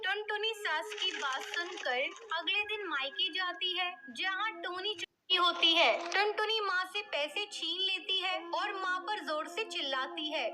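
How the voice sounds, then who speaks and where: Female cartoon narrator, very high, plain storytelling. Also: unhurried.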